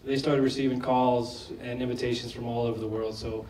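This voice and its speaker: Man, hoarse, conversational Q&A answers, restarts sentences, roomy hall, distant from the mic. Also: mid-range voice, little pitch movement, a little fast, darkish tone, a little hiss or hum. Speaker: film director at a Q&A. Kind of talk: post-screening Q&A answers. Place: large screening hall. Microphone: lost microphone, speaking unamplified. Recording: echoey hall, voice from far off.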